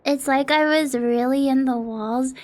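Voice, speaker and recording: A woman's voice: sits high, fairly even intonation, unhurried, darkish tone. A young woman streaming from her apartment, chatting casually in a rambling, self-deprecating way and swearing often, close to a desk mic. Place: home apartment streaming setup. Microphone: close desk mic on an arm.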